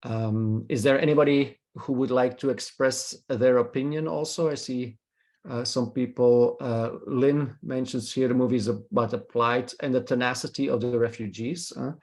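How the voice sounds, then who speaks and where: A man from Belgium, leading a film discussion over a video call, pausing often with filler sounds and checking in with "hmm?". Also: mid-range voice, darkish tone. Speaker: discussion leader, professor.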